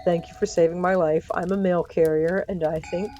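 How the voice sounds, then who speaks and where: A woman voicing a proud but grudgingly apologetic male mail carrier in an audio story, placating and halting. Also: on the low side, fairly even intonation, mellow, faint music behind, natural voice.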